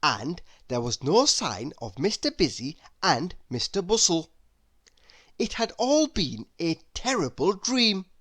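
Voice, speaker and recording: Slow, animated woman reading a storybook. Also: fairly low pitch, breathy edge. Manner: dramatic, deliberate storytelling. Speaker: storybook narrator. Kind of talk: expressive children's read-aloud.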